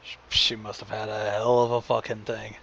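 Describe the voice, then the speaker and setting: A man's voice: fairly low pitch, little pitch movement, normal speed, creaky, fairly bright tone, highs cut off, slight background noise. A man giving casual, wry, sweary commentary as co-host of a story reading.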